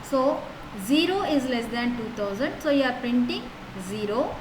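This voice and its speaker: A woman teaching a programming lesson, talking through code step by step in a steady, explanatory way and often starting with "so" and "now". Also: fairly high pitch, moderate pace. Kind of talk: lecture walking through code. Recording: echoey room with noise.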